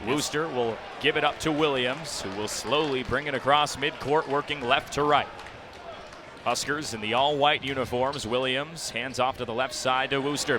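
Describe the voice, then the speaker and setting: A young man calling live basketball play-by-play on the radio with quick, descriptive calls that can rise to an excited shout. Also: fairly high pitch. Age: young adult. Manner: rapid descriptive calls, rising to excited shouts on big plays. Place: indoor basketball arena. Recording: noisy arena broadcast with bouncing balls. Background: loud game noise, basketball bounces.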